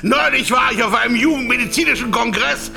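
Frantic man, shrill, cracking high shouts. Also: fairly quick, gravelly, heavily acted. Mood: frantic, enraged. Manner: shouting, ranting, shrill and strained. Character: frantic, defiant pharmaceutical executive man at gunpoint. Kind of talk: radio play acting. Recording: music playing behind the voice.

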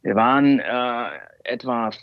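A man's voice: medium pitch, fairly even intonation, takes it slowly, no room sound, phone-quality sound. A man from Cologne, a scientist, telling stories in an interview with many fillers and restarts. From Cologne, Germany. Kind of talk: relaxed conversational interview.

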